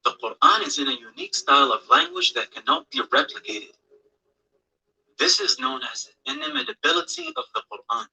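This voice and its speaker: A man's voice: extremely high pitch, gravelly, pushed and bright, poor audio. A man reading a scripted documentary narration in a solemn, declarative way.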